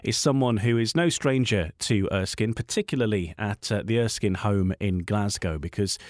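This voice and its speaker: A male radio interviewer with a fairly low voice, recorded close and dry. He hosts in a halting manner, often doubling back on words as he puts a question together. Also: a little mellow, dry recording. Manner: halting, repeats words while forming questions.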